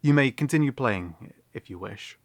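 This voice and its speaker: An actor playing an earnest, awkward young prince in a fantasy audio drama, speaking formally and stammering through apologies. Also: male voice, mid-range voice, expressive, moderate pace, natural voice.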